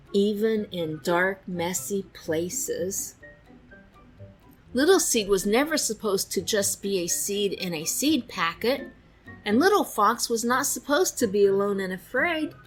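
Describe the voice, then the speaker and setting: A woman reading a children's storybook aloud in an expressive, deliberate storytelling style, close on a studio microphone. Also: medium pitch, breathy edge, low-quality recording. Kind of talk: expressive read-aloud narration. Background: faint music.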